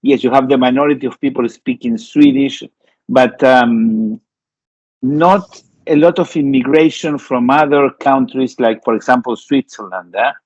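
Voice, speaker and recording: Argentine-born male co-host, thoughtful questions, flat dry muffled call sound. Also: medium pitch, normal speed, no room sound. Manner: thoughtful, asks with tag "eh?". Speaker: podcast co-host. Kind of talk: interview questions. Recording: dry, dull lo-fi call audio.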